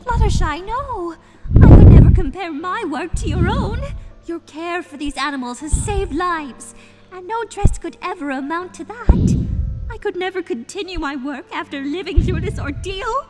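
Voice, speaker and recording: Refined pony lady acted by a woman, high voice over clear background music, loyal, anguished, dramatic, for an audio fan drama. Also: animated, moderate pace. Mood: anguished, earnest. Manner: earnest, dramatic, formal phrasing.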